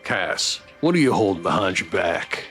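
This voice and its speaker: A man's voice: deep voice, big pitch swings, normal speed, a bit bright, soft background music. A man playing a hearty, teasing farmer dad. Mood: playful suspicion. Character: good-natured farmer dad, big, warm, teasing.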